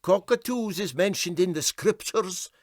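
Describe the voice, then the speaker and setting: A man's voice: high-pitched, a little slow, no echo, clean studio sound, pitched above the performer's own voice. A man voicing a doleful Scottish boat's mate in a comic story, plaintive and moaning. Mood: earnest. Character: doleful, pathetic male boat's mate, gloomily agreeing. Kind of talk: comic storybook character reading.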